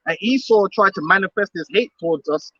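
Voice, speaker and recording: A man's voice: sits high, fairly quick, sharp tone, no room sound, muffled recording. A man preaching outdoors on the street, emphatic and repetitive, often laughing between his points.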